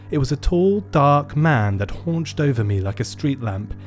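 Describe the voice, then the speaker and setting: Male actor as lonely shopkeeper, lowish voice, gloomy, theatrical, measured first-person narration, light music behind. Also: a little mellow, lightly acted. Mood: uneasy, awed. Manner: melancholy, theatrical, measured storytelling. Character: lonely, gloomy male tuxedo shop owner narrating his tale. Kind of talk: scripted first-person radio play narration.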